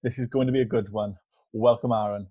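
A man hosting a podcast interview, asking calm follow-up questions. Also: mid-range voice, quick pace, husky, no echo, muffled recording. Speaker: podcast host.

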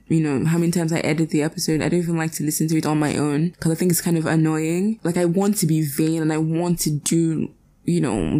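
A young woman hosting a podcast, chatty and self-deprecating, her voice raspy today. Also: low voice, dark tone. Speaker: podcast host. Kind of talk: casual chat.

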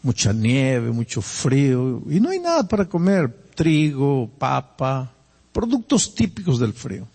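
An older man from Peru with a Peruvian Spanish accent, preaching a sermon and telling personal stories, animated and swinging between loud emphasis and quiet asides. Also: mid-range voice, unhurried, breathy edge, in a large hall.

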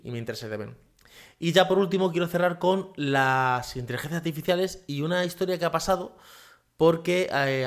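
A man hosting a casual solo podcast from his home office, rambling with many fillers and tag questions. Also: a little high. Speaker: podcast host.

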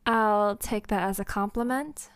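A woman's voice: mid-range voice, a little slow, dry recording, natural voice. A woman playing a charismatic young newcomer, polite and a little hesitant but playful, in an audio drama. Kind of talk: naturalistic audio drama acting.

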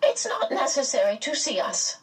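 A woman voicing a calm, grave female guardian being in a storybook, measured, firm and regretful. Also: high voice, distant mic, voice pushed up. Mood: sad, firm.

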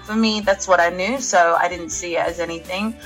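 A woman's voice: lowish voice, steady tone, a little fast, faint music behind, rough recording. A young woman, a singer chatting casually as an interview guest, with lots of fillers and laughs. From England. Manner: chatty, laughs often, many fillers and restarts.

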